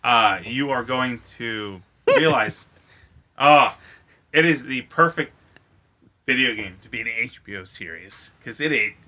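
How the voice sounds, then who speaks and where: A man hosting a podcast in casual banter with friends, joking and swearing with excitable energy. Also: medium pitch, unhurried. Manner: jokey, profane, excitable. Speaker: podcast host.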